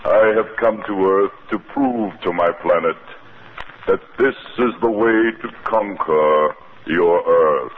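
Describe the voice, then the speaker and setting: Deep slow alien mind controller, cold n bossy, old 50s radio play, muffled. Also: male voice. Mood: coldly explaining. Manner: commanding, slow, deliberate, hypnotic. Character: cold, domineering male Venusian alien, the Green Thing. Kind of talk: 1950s radio drama acting. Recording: muffled, noisy 1950s radio recording.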